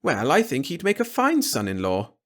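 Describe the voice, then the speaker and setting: A man's voice: on the high side, wildly swooping pitch, normal speed, pitched above the performer's own voice. A man voicing a mild, unruffled middle-aged wife and doting mother in a storybook, speaking sweetly. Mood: placid.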